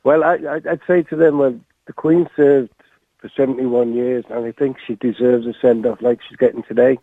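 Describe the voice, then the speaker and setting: An English man in his sixties phones in to a radio show, stumbling and repeating words as he talks. His voice sits at an ordinary middle pitch. The call comes through a dry, tinny phone line. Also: fairly even intonation, moderate pace, dry recording. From England. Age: 60s. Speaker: caller.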